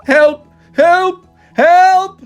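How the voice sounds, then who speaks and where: A woman's voice: very high voice, steady tone, drawn-out words, soft background music, pitched above the performer's own voice, exaggerated voice. A woman voicing a greedy, gluttonous young boy who exclaims his lines in a storybook. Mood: panicked.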